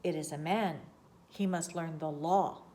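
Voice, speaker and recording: A woman's voice: deep voice, warm tone, a little hiss or hum. A woman doing a thick-voiced beast-man lawgiver. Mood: solemn. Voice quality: thick voice with a whistling overtone. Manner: slow sing-song intoning. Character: thick-voiced male beast-man law-keeper, hunched, solemn.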